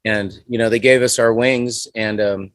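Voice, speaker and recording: Casting: man in his forties, lowish voice, loose rambling interview talk, dry close mic. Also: fairly even intonation, normal speed, no room sound. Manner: rambling, many "you know" fillers. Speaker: guest musician. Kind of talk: casual interview chat.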